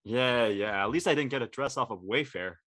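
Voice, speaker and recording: A man playing a vain, bossy teenage high school boy in an audio play, boastful and impatient. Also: brisk, a bit bright, dry recording, studio quality, lightly acted. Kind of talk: conversational acting in an online audio play.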